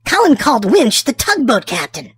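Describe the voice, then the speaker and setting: Female read-aloud host for young children, high voice, expressive and drawn-out delivery, dry close sound. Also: slightly rough, dry recording. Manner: theatrical, drawn-out emphasis for small children. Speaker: children's storybook reader and channel host.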